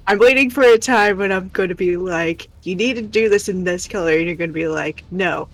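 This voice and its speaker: A woman's voice: on the low side, brisk, no echo, poor audio. A woman chatting casually on a livestream in a playful, teasing way. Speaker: livestream guest. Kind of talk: casual chat. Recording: music playing behind the talk. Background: background music.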